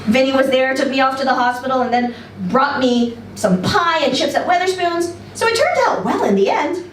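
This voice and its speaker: A woman's voice: high voice, animated, normal speed, pitched above the performer's own voice. A woman voicing a cheerful, sheepish male patient in a story reading, chirpy, apologetic and eager to please. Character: cheerful, sheepish, ridiculously happy man patient. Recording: roomy, distant mic, faint noise.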